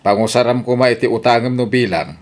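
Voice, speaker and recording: A man's voice: mid-range voice, quick pace, natural voice. Grumbling male actor's skeptical husband, Ilocano accent. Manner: questioning, grumbling. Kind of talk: comedic radio drama acting.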